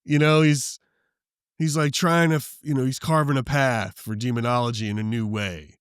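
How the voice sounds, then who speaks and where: A man co-hosting a paranormal podcast, talking to his co-host and the live chat. He calls his own voice low, and he jokes around in an easygoing way. The sound is dry and close to the mic. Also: steady tone, normal speed, clean tone, dry recording. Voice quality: self-described low voice. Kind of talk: casual live-stream chat.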